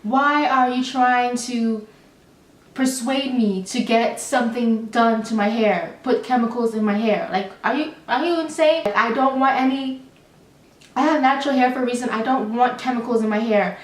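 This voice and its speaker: A woman's voice: on the high side, moderate pace. Chatty young woman, echoey room. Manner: chatty, animated, rambling with many fillers. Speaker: vlogger. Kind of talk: storytime vlog. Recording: roomy, slightly distant mic.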